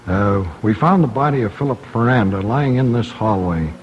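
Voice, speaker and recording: An American man giving a formal police report as a detective, halting and matter-of-fact. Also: on the low side, expressive. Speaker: homicide detective. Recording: muffled old radio, faint noise.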